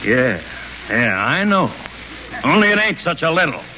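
An actor playing a brusque, impatient police detective with tough street talk, in a hissy 1930s radio broadcast. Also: male voice, a little high, big pitch swings, a little slow, dull audio. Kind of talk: 1930s radio play acting.

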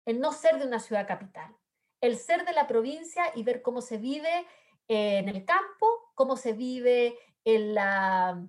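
Chilean woman on a panel, kinda high voice, thoughtful, lots of "eh" and "no?". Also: darkish tone. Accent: Chilean Spanish. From southern Chile. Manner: earnest, reflective, with frequent fillers and tag questions. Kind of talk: panel discussion remarks.

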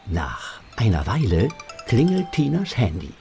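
A man's voice: on the low side, huge pitch swings, measured pace, mellow, faint music behind. A man narrating a children's audio drama in a scripted storybook style.

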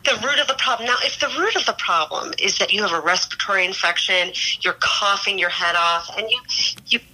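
A woman's voice: medium pitch, a little fast, pushed and bright, low-quality recording. A middle-aged woman voice teacher, calling in as a guest, explaining vocal health in a thoughtful, frank way that often corrects itself mid-sentence. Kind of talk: explanatory chat. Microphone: remote call-in mic.

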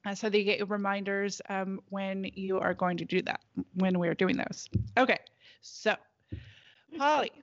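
A woman's voice: mid-range voice, steady tone, normal speed, no echo. Woman host on a dry, dull line. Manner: friendly, promotional, with fillers. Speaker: podcast host. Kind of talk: hosting an interview.

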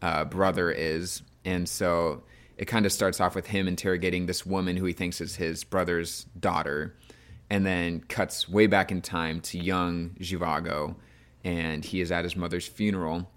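Low-voiced man hosting a casual podcast. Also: flat delivery, normal speed, a bit bright. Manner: informal, loose phrasing full of hedging "like". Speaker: podcast host. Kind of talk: casual film discussion.

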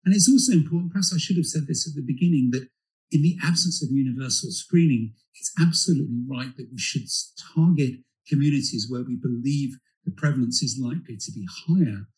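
A man's voice: mid-range voice, a little fast, darkish tone, poor audio. A man, a clinician, giving an earnest and emphatic medical presentation with occasional fillers.

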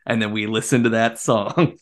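A man's voice: on the low side, steady tone, normal speed. A man co-hosting a podcast, chatting casually about a film in a jokey, enthusiastic way. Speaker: podcast co-host.

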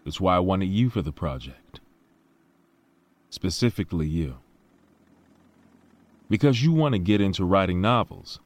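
A very low-pitched male voice as a genteel billionaire inventor in a sci-fi audio drama. He talks in formal, reflective phrases, smooth and sure of himself and his ideals. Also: animated, a little slow, pauses often. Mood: assured, persuasive. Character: male billionaire tech mogul, self-assured, idealistic, genteel.

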